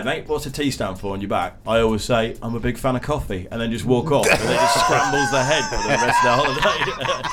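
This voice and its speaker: A middle-aged man cracking jokes on radio, his voice bouncing around a lot as he tells rambling stories. He talks at a medium pitch, and clear music plays behind him in the studio. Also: fairly bright tone. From north of England. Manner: jokey, rambling storyteller. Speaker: radio co-host, station boss. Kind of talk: casual radio banter.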